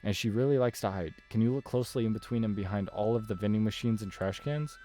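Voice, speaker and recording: A man's voice: lowish voice, fast, warm tone, soft background music, close to an everyday voice. A man reading as an eager kindly young girl. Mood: sweet, confiding. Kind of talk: visual novel dialogue read aloud.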